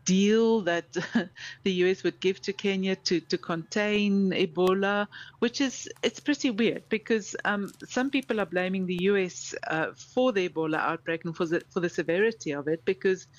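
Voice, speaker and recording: A South African woman in a casual discussion, talking thoughtfully, with restarts and soft laughs. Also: medium pitch, normal speed, dry recording. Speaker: correspondent. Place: in Kenya. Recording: slightly dull lo-fi audio.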